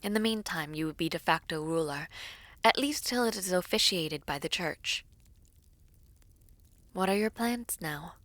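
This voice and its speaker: Slow-spoken, tender young princess played by a woman. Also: fairly low pitch, lively intonation, a bit bright, dry recording. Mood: composed. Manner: formal, measured, hesitant phrasing. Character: devoted royal princess villainess, tender and formal. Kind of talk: intimate audio roleplay acting.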